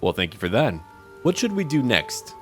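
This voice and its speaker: A man's voice: mid-range voice, big pitch swings, normal speed. A man hosting a roleplay video game playthrough, commenting and talking to the characters in first person. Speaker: gaming video host. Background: game music.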